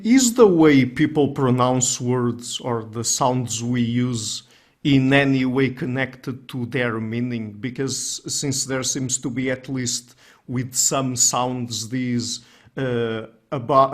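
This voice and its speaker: Slow-talking male interviewer. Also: mid-range voice. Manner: tentative, checks his understanding. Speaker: podcast host. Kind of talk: interview questions.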